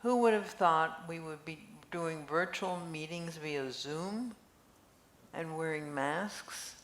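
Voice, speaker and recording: A woman's voice: low voice, slow, fairly bright tone. An older woman reading the part of a chatty, curious friend in an amateur play. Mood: reflective. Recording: echoey room with faint noise.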